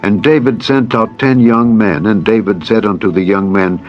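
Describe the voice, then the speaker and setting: A man's voice: lowish voice, steady tone, normal speed. A man giving solemn scripted narration of scripture. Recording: dull lo-fi audio with music.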